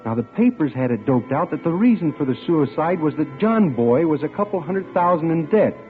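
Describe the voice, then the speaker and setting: A man's voice: on the high side, very animated, a little fast. An actor playing a man narrating his own story in a 1940s radio drama, with a wry manner. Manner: wry first-person storytelling. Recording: old lo-fi radio recording with music.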